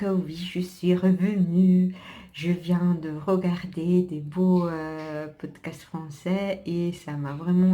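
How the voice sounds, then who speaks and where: A woman with an Italian accent chats slowly and loosely on her own podcast, her voice low and mellow. She stops and restarts often, hunting for words between fillers, and laughs softly at herself. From Italy. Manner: hesitant, many fillers and restarts, frequent laughs. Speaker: podcast host. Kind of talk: casual personal podcast chat.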